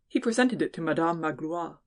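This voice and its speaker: A woman's voice: low voice, lively intonation, fairly quick. A woman giving scripted narration of classic fiction as an audiobook narrator.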